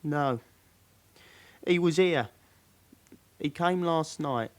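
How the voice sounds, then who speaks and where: An actor playing a frightened, cornered young suspect in a British radio drama, giving short, nervous, deferential answers in a British accent. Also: male voice, fairly high pitch, drawn-out words. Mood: reluctant, subdued.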